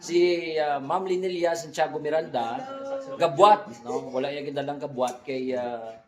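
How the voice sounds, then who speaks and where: A man's voice: a little high, fairly even intonation, unhurried, crowd noise. A man with a Western Visayan Filipino accent chatting casually and jokingly as a vlog host, often tacking on tag questions. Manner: jokey, frequent tag questions. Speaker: vlog host, radio drama director. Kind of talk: casual vlog chat introducing colleagues. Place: indoor radio recording room. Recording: echoey room with people chattering.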